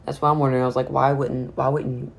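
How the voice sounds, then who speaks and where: Hoarse young man rambling on stream. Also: mid-range voice, flat delivery, fast. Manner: rambling, restarts phrases. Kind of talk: casual livestream chat.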